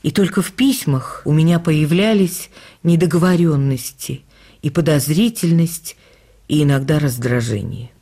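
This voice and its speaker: An actress playing a reflective woman who recalls life with her late husband, reading her memoir in a measured, expressive literary way for a radio production. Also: low-pitched, slightly rough. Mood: reflective, wistful.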